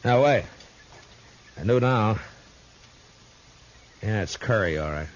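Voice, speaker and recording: An actor playing a calm, stern, world-weary frontier marshal with a terse, dry delivery, in 1950s radio drama style. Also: male voice, fairly low pitch, slow. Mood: steady, commanding. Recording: scratchy, muffled 1950s radio recording.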